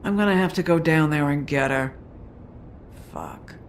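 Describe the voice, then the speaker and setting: A woman's voice: low voice, normal speed, slight background noise, close to an everyday voice. An actress playing a grieving, foul-mouthed troll woman who runs a bar, blunt and guarded in a dramatic video game. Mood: defensive. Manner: blunt, bitter, guarded, swearing.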